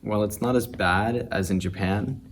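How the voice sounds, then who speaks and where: Matter-of-fact deep-voiced teen boy. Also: moderate pace, background noise. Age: teens. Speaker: student. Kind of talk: opinion statement in a discussion.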